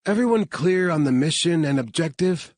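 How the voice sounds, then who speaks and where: A woman's voice: deep voice, lively intonation, normal speed, darkish tone, dry recording, voice pushed up. A man voicing a commanding woman team leader in an audiobook.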